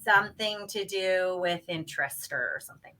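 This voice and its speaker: A woman's voice: lowish voice, normal speed, sharp tone, rough recording. A woman in a casual podcast interview, explaining home financing step by step with many fillers. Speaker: guest, home financing expert.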